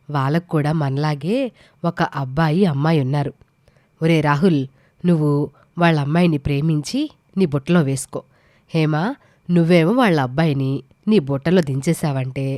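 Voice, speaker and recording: A woman's voice: deep voice, warm tone, dry recording, studio quality, natural voice. A woman playing a scheming, sweetly persuasive middle-aged village mother in a moral story. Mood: sly, plotting. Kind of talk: dramatised Telugu moral story.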